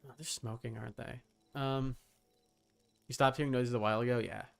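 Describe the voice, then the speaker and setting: Young man with a creaky, clear voice. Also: medium pitch, steady tone, faint music behind. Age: young adult. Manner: rambling, halting, repeats words. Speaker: game streamer. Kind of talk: casual livestream chat while gaming.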